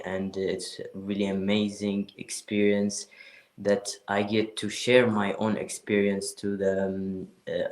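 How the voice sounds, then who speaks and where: A man's voice: low-pitched, darkish tone, roomy sound. A man from Saudi Arabia, an asylum seeker, speaking hesitantly and with many fillers as a guest in an online interview.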